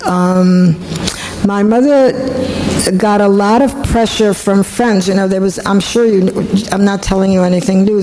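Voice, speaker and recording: A woman in her eighties from Poland, thinking back in an oral history interview with many pauses and restarts. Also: on the low side, background noise. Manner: reflective, halting, frequent restarts.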